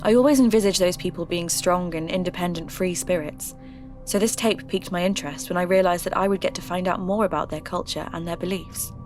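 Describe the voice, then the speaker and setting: An actress as an earnest Englishwoman hosting a folklore mystery podcast. Her voice sits fairly low, and she narrates in a measured, reflective manner. Music plays clearly behind her. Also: close to an everyday voice. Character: earnest woman podcaster investigating eerie folklore. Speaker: podcast host. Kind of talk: scripted first-person mystery narration.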